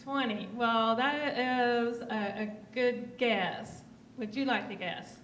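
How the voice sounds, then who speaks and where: A woman from Oklahoma with a slightly high voice talks to a room as a guest speaker, posing questions and teasing at the answers. She is heard from a distance in a reverberant chamber with faint hiss. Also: slow pace, a bit bright. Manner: playful, quizzing the audience. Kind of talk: friendly talk to an audience. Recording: echoey chamber, mic from far off.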